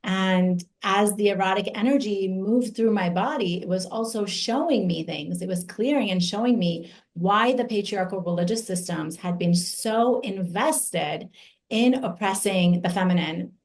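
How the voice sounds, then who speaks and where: A woman in her forties who grew up in Iran, giving an earnest personal testimony as an invited speaker, slow and deliberate with emphatic pauses. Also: medium pitch, a little mellow.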